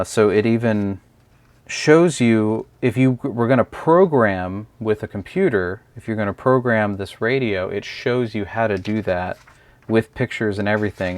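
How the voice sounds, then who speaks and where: A man giving a casual, unscripted hands-on product review, explaining step by step in a hesitant way. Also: fairly low pitch.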